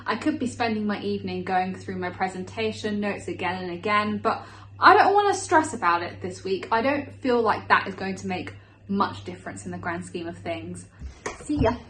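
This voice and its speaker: A woman's voice: mid-range voice, expressive, normal speed, a bit bright, a little hiss or hum, low-quality recording. A British woman in her twenties chatting casually to camera in a self-deprecating vlog style. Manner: chatty, self-deprecating, repeats phrases for emphasis. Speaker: vlogger.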